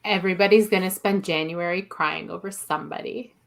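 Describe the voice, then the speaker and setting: A woman's voice: fairly low pitch, steady tone, brisk, creaky. Emphatic woman as a podcast guest. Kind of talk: casual fan discussion.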